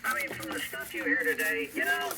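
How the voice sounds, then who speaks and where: An older American man chatting casually over shortwave amateur radio, telling rambling anecdotes. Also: fairly quick. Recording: very noisy shortwave radio reception, heavy static.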